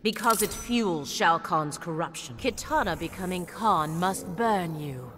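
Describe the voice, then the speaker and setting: A woman voicing a proud, stern young warrior in a video game, speaking in formal, measured, taunting lines. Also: fairly low pitch, animated, a bit bright. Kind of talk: video game combat intro dialogue. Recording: reverberant game hall, music behind.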